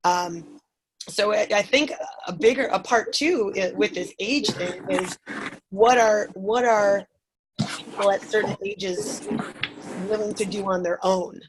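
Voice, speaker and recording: A woman's voice: medium pitch, measured pace, noisy background. Musing woman in her forties on a call. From Chicago. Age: 40s. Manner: musing, restarts often, thinks aloud. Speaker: group call participant. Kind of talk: casual group video call. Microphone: video call.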